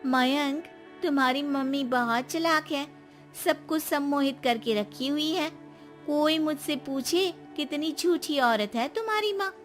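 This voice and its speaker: A woman voicing a scheming young daughter-in-law in a cartoon story, shrill and whining. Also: a little slow, soft background music. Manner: shrill, whining, fake sobbing.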